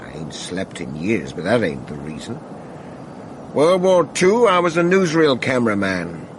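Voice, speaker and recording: A man's voice: lowish voice, sing-song, a little slow. An actor playing a world-weary, folksy veteran cameraman with a laconic manner, in a radio mystery drama. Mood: world-weary, reflective. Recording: noisy, with outdoor ambience behind.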